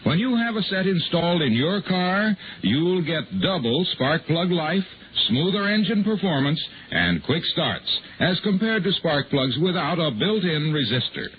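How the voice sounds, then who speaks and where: Casting: polished salesman, male, very animated, scripted old-time radio commercial with a phone-like thin sound. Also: a little high, unhurried, few pauses. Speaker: commercial pitchman. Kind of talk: scripted 1950s American radio advertising. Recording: tinny, slightly noisy vintage radio recording.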